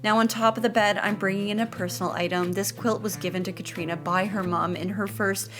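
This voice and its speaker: A woman's voice: medium pitch, fairly even intonation, fairly quick, music in the background. A woman with a playful, chatty manner, narrating a home makeover video in an upbeat scripted style with casual asides. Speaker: host of a home makeover vlog.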